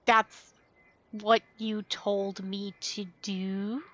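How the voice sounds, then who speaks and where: A woman's voice: medium pitch, little pitch movement, drawn-out words, a bit bright, highs cut off, a little hiss or hum. A woman live streamer reading game dialogue aloud on stream. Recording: game music behind.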